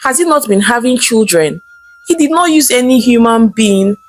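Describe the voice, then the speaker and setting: A woman with a Nigerian accent voicing an anxious, persuasive husband in a folktale, pleading with drawn-out words. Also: fairly high pitch, lively intonation. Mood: persuasive, insistent.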